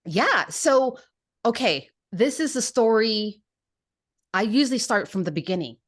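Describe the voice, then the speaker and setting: A young woman hosting a podcast, bubbly and eager, chatting casually with quick bursts. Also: fairly high pitch, animated. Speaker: podcast host.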